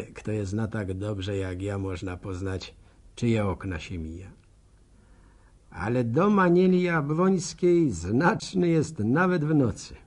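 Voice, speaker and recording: A nostalgic village bachelor narrating a radio serial. His voice is low and husky, with speech. He muses slowly and folksily, savouring his words. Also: darkish tone, exaggerated voice. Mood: folksy, musing. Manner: folksy, musing, unhurried.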